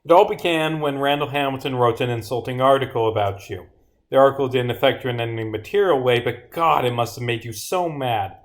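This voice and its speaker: A man's voice: medium pitch, a little fast. Man reading his game script on stream. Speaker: streamer and visual novel developer. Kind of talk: livestream of reading own game script aloud with commentary.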